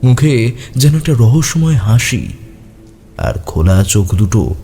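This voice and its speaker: A man's voice: medium pitch, big pitch swings, a little slow, vocal fry, dark tone. A man playing a young storyteller who narrates a grim ghost tale to friends in an audio drama. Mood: ominous, suspenseful. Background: background music.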